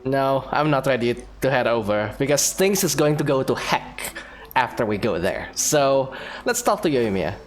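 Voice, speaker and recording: A woman's voice: rumbling low, big pitch swings, moderate pace, over music. A woman gamer in a casual playthrough, reading out dialogue and thinking aloud. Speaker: gamer playing through a story quest.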